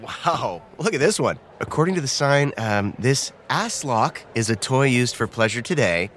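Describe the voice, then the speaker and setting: A man's voice: medium pitch, sing-song, normal speed, a bit bright, slight background noise. An actor playing an awkward, easily embarrassed young man on a playful date, talking hesitantly and naturally in a romcom audio drama. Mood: awkward, amused. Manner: hesitant, bashful, conversational.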